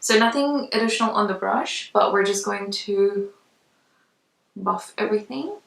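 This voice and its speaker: A woman's voice: medium pitch, moderate pace, creaky. A woman giving a casual makeup tutorial in a chatty, conversational way. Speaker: makeup vlogger. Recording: roomy echo, distant mic.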